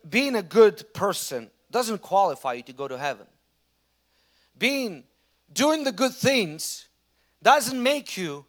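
A man with a Russian accent preaching a sermon as a pastor, telling stories with lively restarts. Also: high voice. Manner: animated storytelling preacher with frequent restarts.

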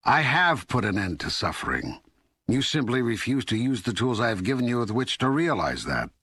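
God, ageless and all-knowing, lowish husky voice, dry close sound, measured, patient, audiobook dialogue. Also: male voice, very animated, a bit bright, no echo. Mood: firm, patient. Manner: measured, declarative, authoritative teaching. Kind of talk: scripted audiobook dialogue reading.

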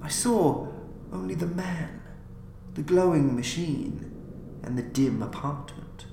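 A man reading a horror story as a podcast narrator, in a deliberate, solemn, dramatic style. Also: a little high, mellow. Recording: roomy, clearly noisy home recording.

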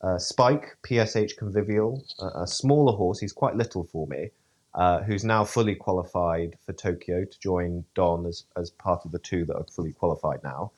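Very low-voiced man, hesitant. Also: normal speed, a little mellow. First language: English. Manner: hesitant, many fillers and restarts. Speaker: event rider, interview guest. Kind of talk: podcast interview.

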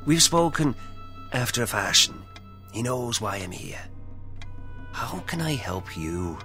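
An actor playing a solemn, probing priest who investigates spirits in an audio play, grave and cryptic in manner. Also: male voice, mid-range voice, sing-song, takes it slowly, sharp tone, faint music behind, heavily acted. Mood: gently insistent. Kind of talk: dramatic audio play acting.

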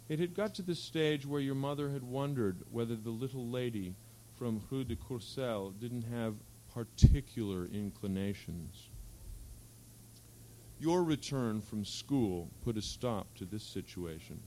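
A man's voice: medium pitch, a little mellow, rough recording. Man reading fiction aloud, faint hum behind. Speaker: reader at a literary event. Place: live public reading at an event. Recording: faint hum and background noise.

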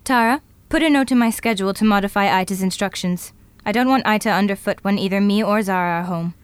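A woman playing a self-assured, brisk professional futurist in an audio drama, speaking matter-of-factly. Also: medium pitch, smooth, no room sound, lightly acted. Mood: brisk, bossy.

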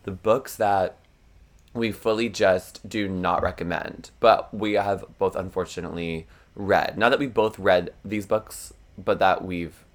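A man's voice: low-pitched, fairly even intonation, measured pace. A young man with a froggy voice, co-hosting a podcast in rambling, self-deprecating casual chat and laughing often. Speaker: podcast co-host.

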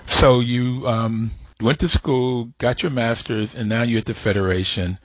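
A man's voice: mid-range voice, steady tone, a little slow, no room sound, poor audio. A man hosting a radio interview, asking curious, conversational questions. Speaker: radio host.

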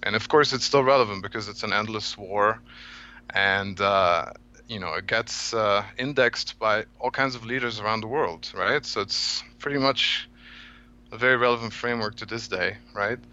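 A man from Egypt in his thirties, a guest author on a podcast, telling a long story with many halting fillers. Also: fairly low pitch, bright, forceful tone, lo-fi sound. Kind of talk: podcast interview, reflective storytelling.

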